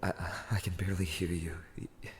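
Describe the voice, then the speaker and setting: A man's voice: deep voice, little pitch movement, reverberant, a little hiss or hum, close to an everyday voice. An actor playing a young man falling apart alone, stammering, swearing and near tears. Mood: distressed. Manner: halting, stammering, swearing, voice cracking.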